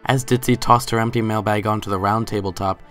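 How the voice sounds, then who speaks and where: Low-voiced man narrating a story. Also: steady tone, brisk, a bit bright, faint music behind. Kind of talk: scripted story narration, dramatic reading.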